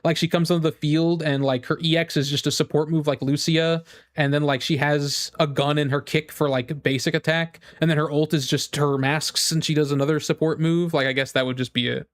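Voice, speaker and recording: A man's voice: on the high side, little pitch movement, fairly quick, no echo, studio quality. A man chatting casually as a gaming streamer, rambling enthusiastically and thinking aloud with false starts. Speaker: streamer reacting and chatting with viewers.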